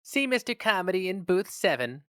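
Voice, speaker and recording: A man's voice: high-pitched, very animated, moderate pace, fairly bright tone, smooth, no room sound, clean studio sound, pitched above the performer's own voice. A man voicing a sober, curt young female receptionist in a dramatic story reading.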